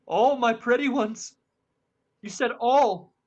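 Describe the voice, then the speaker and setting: A man's voice: extremely high pitch, slow, muffled recording, exaggerated voice. A young man playing a passionate, grieving nobleman bent on revenge in a radio Shakespeare play. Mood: grief-stricken. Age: young adult.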